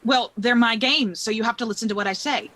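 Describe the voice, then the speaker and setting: A woman's voice: on the high side, fairly quick, a crowd in the background, natural voice. A young adult woman playing a dreamy, curious, playful young woman with a hesitant, wry manner in a live play reading. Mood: indignant.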